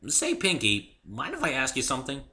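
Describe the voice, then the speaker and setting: A man's voice: mid-range voice, wildly swooping pitch, a little fast, pushed and bright, pitched above the performer's own voice, heavily acted. A man voicing a polite, unsure young geeky man in a story reading, speaking in a hesitant, earnest way. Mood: curious.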